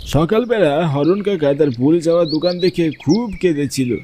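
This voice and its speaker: A man voicing a kindly, pious village cleric in a cartoon story, reassuring and measured as he talks. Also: fairly high pitch, dark tone, a little hiss or hum, pitched above the performer's own voice, close to an everyday voice. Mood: solemn recounting.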